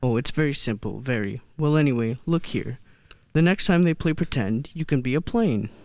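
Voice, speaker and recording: An actor playing a kindly old Santa figure in a radio play, gentle and hesitant, often repeating words. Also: mid-range voice, lively intonation, normal speed, no echo, natural voice. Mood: playful, kindly. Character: kindly old man who believes he is Santa. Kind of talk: stage-style radio play acting.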